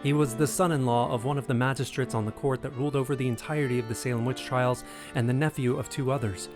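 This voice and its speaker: A man's voice: medium pitch, fairly even intonation, fast talker, over music. A man narrating an audio drama in a scripted, dramatic storytelling style.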